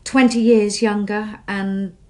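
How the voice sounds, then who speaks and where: A woman's voice: mid-range voice, flat delivery, slow, lightly acted. An actress playing an earnest young police assistant in her twenties in a radio drama, hesitant but insistent. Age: 20s.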